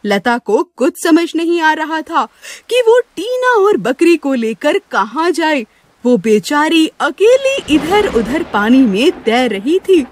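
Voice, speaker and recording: A woman narrating a scripted children's moral story in an expressive, sympathetic way. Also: high-pitched, slow pace. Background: music.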